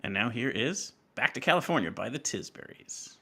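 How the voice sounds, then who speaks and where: A man hosting a music podcast, talking casually and haltingly as he thinks aloud. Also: mid-range voice, sing-song. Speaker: podcast host.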